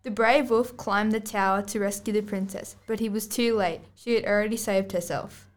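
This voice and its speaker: A woman's voice: medium pitch, fairly even intonation, normal speed. Young schoolgirl reading a storybook aloud. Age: child, about 11 to 12. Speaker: student narrator and podcast co-host. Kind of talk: scripted storybook narration, read aloud.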